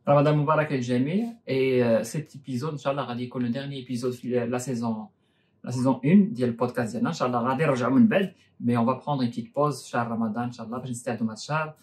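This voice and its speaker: Co-host: man, mid-pitched, very quick, informal, slipping between languages. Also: steady tone, a little mellow. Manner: switches between French and Arabic. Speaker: podcast co-host. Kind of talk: casual address to viewers.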